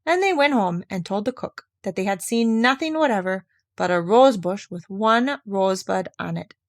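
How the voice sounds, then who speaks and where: Female fairy-tale narrator: medium pitch, measured expressive read, dry studio-like sound without room echo. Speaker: storyteller.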